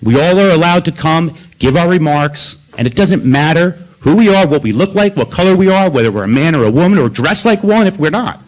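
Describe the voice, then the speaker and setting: A man's voice: fairly high pitch, animated, fairly quick, hardly any pauses, low-quality recording. A man speaking at a council meeting's public comment, emphatic and confrontational, repeating his points.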